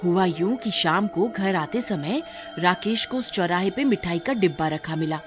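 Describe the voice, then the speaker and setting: A woman's voice: on the low side, lively intonation, moderate pace, over music, close to an everyday voice. A woman voicing a frightened but resourceful village wife in a horror-comedy story, urgent and explanatory. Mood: earnest.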